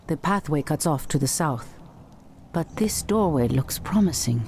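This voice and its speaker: Casting: proud elven priestess for a fantasy game, lowish voice, commanding, music behind. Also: female voice, expressive, unhurried, a little mellow. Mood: composed. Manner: determined, commanding, dramatic. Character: proud, resolute female night elf priestess. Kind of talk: video game cutscene acting.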